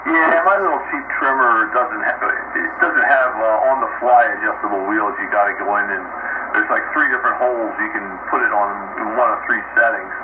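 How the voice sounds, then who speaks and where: A man rambling in a folksy, halting way, chatting casually over a radio link. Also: fairly low pitch. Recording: dull radio link, loud static.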